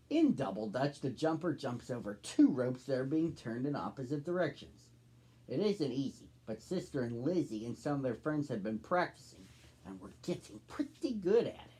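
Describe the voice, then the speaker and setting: A man's voice: medium pitch, dark tone, breathy edge. A man in his thirties hosting and reading a storybook aloud for children. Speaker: storybook reader, host.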